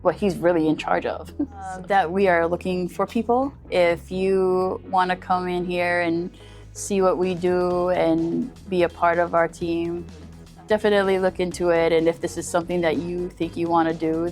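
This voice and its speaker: A woman's voice: fairly low pitch, steady tone, normal speed, a little mellow, soft background music. A woman working as an emergency dispatcher, talking informally in an on-camera interview.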